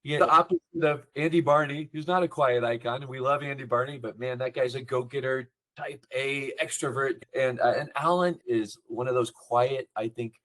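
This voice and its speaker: A man's voice: mid-range voice, moderate pace, hardly any pauses, a bit bright. A man hosting a podcast, talking casually and eagerly, open about being boastful. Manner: enthusiastic, self-deprecating promoter. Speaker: podcast host.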